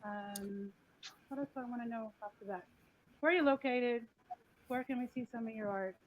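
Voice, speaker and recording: A woman's voice: on the high side, normal speed. A woman hosting a podcast interview, bubbly and teasing as she asks questions. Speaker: podcast host. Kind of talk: casual interview. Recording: dull lo-fi sound with faint noise.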